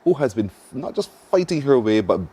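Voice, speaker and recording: A man hosting a morning TV show, asking measured, probing questions. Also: medium pitch, very animated, dark tone, breathy edge. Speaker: TV show host. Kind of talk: morning TV interview.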